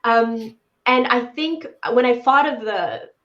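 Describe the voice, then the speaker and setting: A woman's voice: a little high, takes it slowly, fairly bright tone. Enthusiastic woman in her thirties, echoey call. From Poland. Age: 30s. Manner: enthusiastic, upbeat. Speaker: animator guest. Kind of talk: casual interview chat. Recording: echoey room, dull lo-fi sound.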